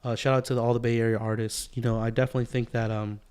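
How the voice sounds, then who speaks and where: A man's voice: mid-range voice, level tone, very fast, a little mellow, smooth. A man with an American accent chatting casually as a podcast co-host, rambling and repeating himself for emphasis. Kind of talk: casual conversational chat.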